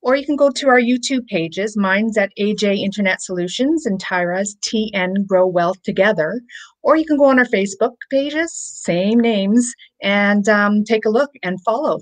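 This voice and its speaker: A woman's voice: mid-range voice, animated, low-quality recording. A middle-aged woman co-hosting over a video call, friendly and encouraging. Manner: friendly, encouraging, quick to agree. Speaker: co-host. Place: home room, indoors. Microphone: webcam on video call.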